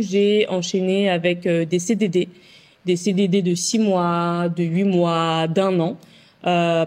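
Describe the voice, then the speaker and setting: A woman's voice: on the low side, darkish tone. Young woman, Paris region, hesitant but forthcoming, on a call. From France, Paris region. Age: young adult. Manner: hesitant with many fillers, open and detailed. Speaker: guest. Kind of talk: casual conversation.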